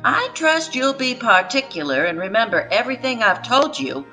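A woman's voice: on the high side, sing-song, moderate pace, bright, forceful tone, faint music behind, voice pushed up, heavily acted, poor audio. A woman voicing a loving but firm Southern grandmother in a storybook, folksy and emphatic. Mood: firm, caring.

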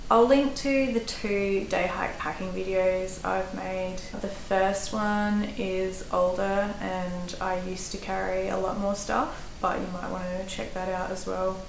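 An Australian woman in her thirties talks straight to the camera in an easygoing, practical way, dropping the odd "um" as she explains her gear. Her voice sits in a middle pitch. The small room gives it a noticeable echo, and a faint background noise hangs behind it. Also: vocal fry. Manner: chatty, practical, with fillers. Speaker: hiking vlogger. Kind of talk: casual gear advice to camera.

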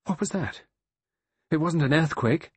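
A man's voice: a little high, expressive, measured pace, slightly rough, no room sound, voice pushed up, exaggerated voice. A man voicing a frightened, desperate woman in an audiobook, with urgent and anxious pleading. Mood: alarmed.

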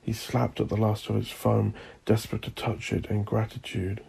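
Husky low-voiced guy reading a horror audiobook, intense and theatrical, pitch swings all over. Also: monotone, moderate pace, darkish tone. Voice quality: gravelly, husky. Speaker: audiobook narrator. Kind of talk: dramatic scripted horror narration.

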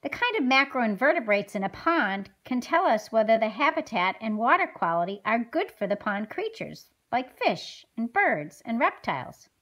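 Woman playing an eager, high-voiced animated schoolgirl. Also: normal speed, hardly any pauses. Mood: helpful. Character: Polly, eager friendly fifth-grade schoolgirl puppet. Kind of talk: scripted children's puppet show.

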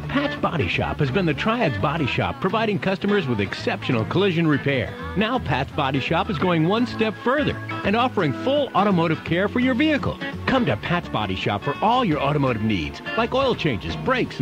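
A male announcer with a high, animated voice reads a local radio advertisement. His delivery is scripted and upbeat, with music playing underneath. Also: moderate pace. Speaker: commercial announcer.

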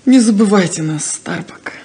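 An actress playing a heartfelt, emotional rancher's daughter in a 1970s radio drama. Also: female voice, medium pitch, lively intonation, moderate pace, a little mellow, lightly acted. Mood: tender.

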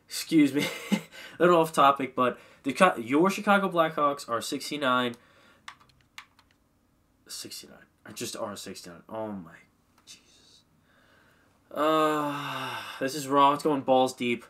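A bright, forceful young man with a slightly stuffy, congested voice, chatting loosely at a desk microphone. He rambles, laughs at himself and swears now and then while clicking through menus, with keyboard typing faintly behind him. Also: mid-range voice, unhurried, pauses often. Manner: rambling, self-mocking, swears. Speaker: gaming commentator. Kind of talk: casual game commentary. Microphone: desk mic.

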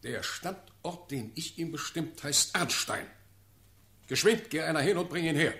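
A stern ruler in a verse radio play, slow, raspy voice, real commanding. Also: male voice, on the high side, animated, pushed and bright, full character voice. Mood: commanding, questioning. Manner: declamatory, measured, commanding. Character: stern, self-assured ruling prince, the Elector. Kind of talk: classical verse radio drama acting.

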